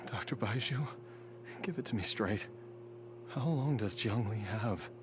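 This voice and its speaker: An actor playing a weary, dying family man in a video game, earnest and halting, with music behind him. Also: fairly low pitch, noisy background, natural voice. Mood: grave, resigned. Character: weary, dying devoted husband and father, resigned.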